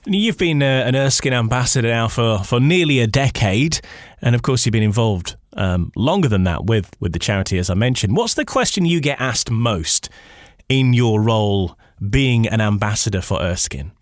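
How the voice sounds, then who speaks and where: A man's voice: medium pitch, animated, normal speed, no echo, clean studio sound. A man hosting a conversational radio interview, putting questions and comments to a guest.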